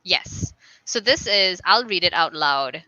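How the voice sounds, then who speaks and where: A woman's voice: fairly low pitch, expressive, slow, bright, forceful tone, no echo, highs cut off. A woman hosting a casual video-call interview, talking emphatically and laughing often. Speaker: host.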